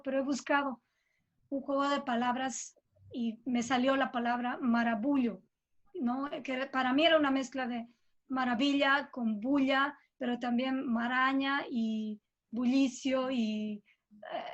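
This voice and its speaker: High-voiced Bolivian woman in conversation. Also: muffled recording. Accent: Bolivian Spanish. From Cochabamba, Bolivia. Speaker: guest composer. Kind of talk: conversational interview about own work.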